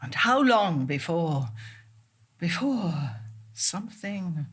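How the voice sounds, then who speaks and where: Breathy, halting, unsettled actress as a paranoid woman. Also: lowish voice, very animated, heavily acted. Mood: hushed, insistent. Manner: halting, breaking off and repeating herself. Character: unsettled woman convinced reality keeps shifting, halting and obsessive. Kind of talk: theatrical monologue.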